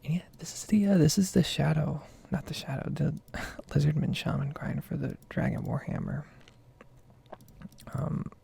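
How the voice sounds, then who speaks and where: A woman speaking in a soft, breathy whisper, rambling casually in an ASMR style as she comments on her own gameplay. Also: low-pitched, moderate pace. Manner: casual, meandering. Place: basement at home.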